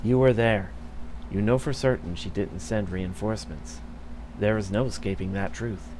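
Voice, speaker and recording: A man voicing a scheming courtier who speaks in a measured, insinuating way. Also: on the low side, background noise, lightly acted. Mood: sly.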